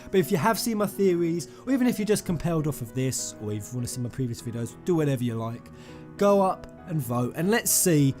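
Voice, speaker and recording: A man hosting a fan theory video, rambling and emphatic, addressing his viewers directly in an excited commentary style. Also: fairly high pitch. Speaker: gaming YouTuber, host. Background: music under the voice.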